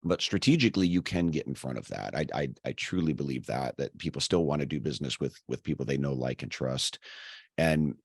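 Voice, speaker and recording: A man's voice: very deep voice, animated, fairly quick, slightly rough, dry recording, clean studio sound. An American man hosting a casual interview, his voice slightly scratchy as he is losing it. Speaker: podcast host.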